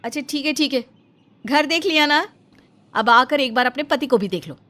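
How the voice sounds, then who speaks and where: Woman acting an exasperated, high-pitched city sister-in-law. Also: moderate pace, a bit bright. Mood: brisk, impatient. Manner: scolding, bossy, emphatic. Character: exasperated, scornful urban working elder sister-in-law. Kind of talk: animated Hindi family story acting.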